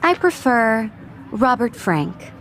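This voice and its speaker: A woman's voice: fairly high pitch, big pitch swings, slow pace, fairly bright tone, some chatter behind, slight background noise, heavily acted. A woman playing a haughty, eager teenage art student in a video game, speaking in an affected, opinionated way. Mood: self-assured.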